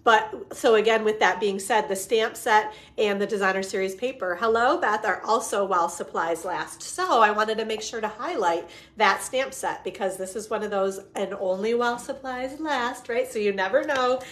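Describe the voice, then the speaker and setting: A woman in her forties, a bit hoarse from a cold, chattily demonstrating craft supplies on a home live stream and greeting viewers by name. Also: medium pitch, normal speed, few pauses, a bit bright. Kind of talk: casual live-stream demo. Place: living room at home.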